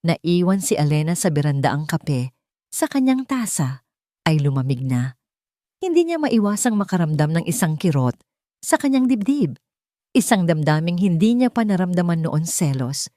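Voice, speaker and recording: Woman doing dramatic story narration, low voice, really dry recording. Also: very animated, moderate pace, warm tone, dry recording.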